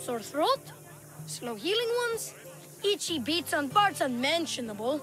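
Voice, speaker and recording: High-voiced cheeky young boy hustler. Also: sing-song, unhurried, music in the background. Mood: glib salesmanship. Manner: glib salesman patter, feigned innocence. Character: cheeky young boy tour guide, sly con artist. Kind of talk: video game character acting.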